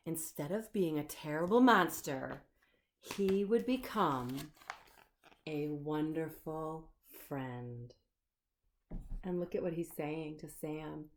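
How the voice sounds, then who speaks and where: A woman's voice: deep voice, darkish tone, slight background noise. A woman children's storyteller reading a picture book aloud, expressively, explaining and putting questions to the listener.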